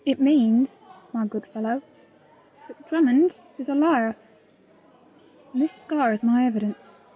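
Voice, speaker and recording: A woman's voice: high-pitched, a little slow, slightly rough, muffled recording. A woman playing a loyal, plain-spoken pirate crew member in an audio play, telling her story earnestly in old seafaring dialect. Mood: indignant. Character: loyal, plain-spoken pirate crewwoman confiding a grave secret.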